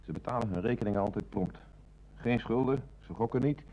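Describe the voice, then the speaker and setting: An actor playing a dutiful police detective in a radio play, reporting in a matter-of-fact, clipped way. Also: male voice, medium pitch, a little fast, warm tone, lightly acted, rough recording. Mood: factual, knowing. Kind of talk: Dutch radio play acting.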